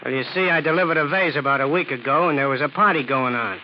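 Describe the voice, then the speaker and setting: A man acting a wary young working guy behind bars in an old radio crime play. His voice sits fairly high, and he talks bluntly in street slang, on a muffled recording. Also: fast talker, slight background noise, lightly acted. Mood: earnest. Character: wary, plain-spoken young messenger in a jail cell. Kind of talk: 1940s radio drama acting.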